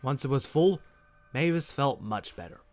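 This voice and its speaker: Male storybook narrator, medium pitch, scripted read, clearly audible noise behind. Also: expressive, normal speed.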